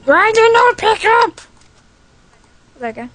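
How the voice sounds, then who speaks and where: A woman's voice: extremely high pitch, sing-song, a little slow, a bit bright, no room sound. A woman talking casually with a friend over voice chat while gaming, chatty and hesitant, full of fillers. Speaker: gamer.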